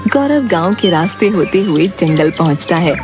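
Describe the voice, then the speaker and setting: A woman's voice: lowish voice, normal speed. Woman narrating a storybook. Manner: steady, storytelling. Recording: background music.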